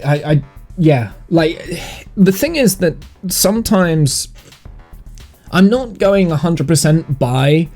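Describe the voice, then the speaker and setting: A man chatting casually on a gaming livestream, thinking aloud in a rambling way, with a slightly croaky, sniffly voice. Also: fairly high pitch, mellow. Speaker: live streamer and game master. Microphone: streaming mic monitored through headphones.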